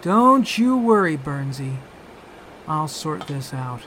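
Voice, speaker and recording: An actor playing a fussy, protective gadget inventor in a spy spoof, earnest and theatrical. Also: male voice, on the high side, sing-song, slow pace, fairly bright tone, slight background noise, full character voice. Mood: soothing, indignant.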